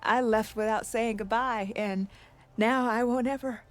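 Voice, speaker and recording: An actress playing a despondent, homesick female navigator in a video game, with a fatalistic and measured way of talking. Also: on the high side, steady tone, natural voice. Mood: regretful. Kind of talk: video game cutscene acting. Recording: music behind the dialogue.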